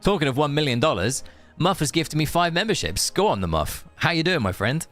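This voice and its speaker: A man's voice: on the high side, very animated, a little fast, fairly bright tone, faint music behind. A man chatting informally and chummily to viewers as he streams a game. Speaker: game livestreamer. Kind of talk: casual livestream chat and commentary.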